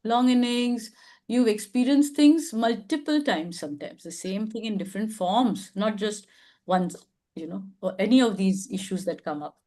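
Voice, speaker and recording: A woman's voice: mid-range voice, lively intonation, moderate pace, mellow. A woman hosting an advice chat on a video call, speaking reflectively and affirming others, often restarting her sentences. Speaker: advice show host.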